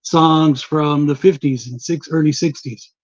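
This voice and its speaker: A man's voice: on the high side, a little slow, no room sound. Laid-back west texas man, lo-fi. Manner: laid-back, drawling, says "baby" and "man". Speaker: comic collector sharing his collection. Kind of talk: casual group chat on a livestream.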